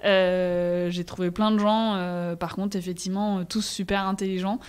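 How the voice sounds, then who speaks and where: A woman's voice: on the low side, little pitch movement, moderate pace, clean tone. Candid young Frenchwoman, searching for words. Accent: French from France. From France. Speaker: interview guest. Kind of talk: casual conversational interview, personal account.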